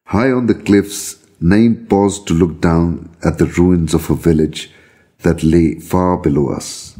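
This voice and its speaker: A man reading a scripted, first-person story of a visionary journey in a measured, solemn manner. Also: deep voice, mellow, slightly rough. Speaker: storyteller reading own account.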